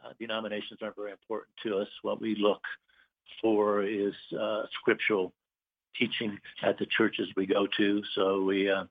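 A middle-aged man from Puerto Rico, a business owner, chatting as an interview guest, halting with many fillers and pauses. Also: on the low side, completely flat delivery. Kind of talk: casual interview chat. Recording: tinny phone line.

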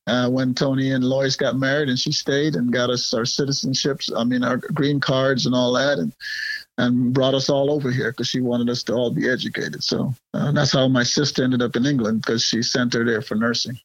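A man's voice: medium pitch, level tone, normal speed, no echo, muffled recording. A man from Guyana speaking as an interview guest, rambling with frequent fillers and restarts and often laughing. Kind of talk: casual interview conversation.

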